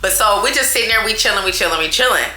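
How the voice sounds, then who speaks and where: A woman telling a storytime to her viewers in a loud, chatty, animated way, talking straight to them as y'all. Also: mid-range voice, racing, reverberant. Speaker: storytime vlogger.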